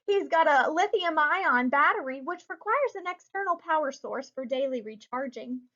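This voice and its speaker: A woman reads a boastful monster truck brother in a picture book. He is mocking and taunting, in a high register, with slightly dull sound. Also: lively intonation, moderate pace, pushed and bright. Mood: teasing. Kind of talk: children's picture book read-aloud. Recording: muffled lo-fi recording.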